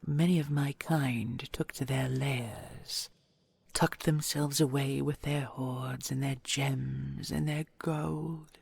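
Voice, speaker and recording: A woman voicing an ancient dragon queen in an audio roleplay, tender and regal, speaking in a husky, breathy half-whisper with stately, intimate phrasing. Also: a bass voice, fairly even intonation, a little mellow, dry recording, heavily acted. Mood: wistful, regretful. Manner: stately, measured, formal and intimate endearments.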